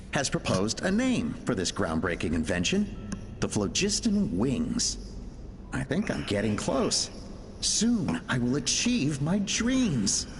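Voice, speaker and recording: A man's voice: mid-range voice, big-room sound, a little hiss or hum. An actor voicing a driven male inventor in a video game, reading out his research log with determination. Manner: determined, intense. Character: driven, obsessive male inventor writing logs. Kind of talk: video game voice-over reading journal entries.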